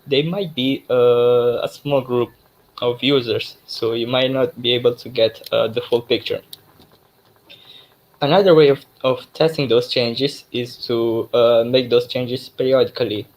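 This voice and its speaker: A young man from Mozambique, a native Portuguese speaker, giving an online tech talk in a relaxed way, with many fillers and restarts. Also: mid-range voice, slight background noise, poor audio. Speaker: guest speaker, developer expert.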